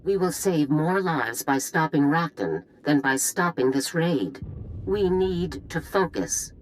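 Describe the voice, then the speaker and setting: A woman's voice: low voice, expressive, slow, a little hiss or hum, low-quality recording. A woman voicing a stern, pragmatic middle-aged general in a video game, speaking in a clipped, authoritative way. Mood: firm, commanding.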